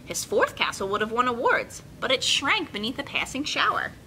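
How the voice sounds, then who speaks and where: A young woman hosting a children's storytime, speaking in an expressive, encouraging way as she reads and instructs. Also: moderate pace, low-quality recording. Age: young adult. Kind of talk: picture-book reading and friendly instruction for children. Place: indoor children's room with carpeted steps. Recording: clear room noise, low rumble.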